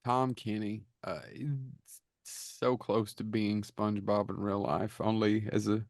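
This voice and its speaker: A man's voice: on the low side, level tone, takes it slowly, darkish tone, dry recording, studio quality. A man co-hosting a comedy podcast, tossing wry quips into casual banter. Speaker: podcast co-host.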